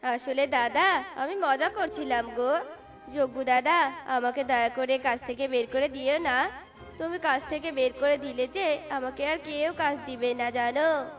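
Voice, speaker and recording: Casting: humble cartoon husband bird, high voice, a woman doing it, music bed. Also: a little slow. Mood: pleading. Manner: pleading, hawking cheerfully.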